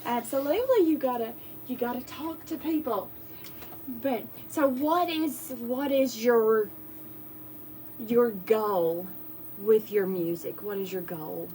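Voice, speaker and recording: A woman's voice: on the high side, slow pace, a little mellow, slight background noise, low-quality recording. A woman hosting a live radio show, friendly and rambling with many fillers, in a radio studio. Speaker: radio host.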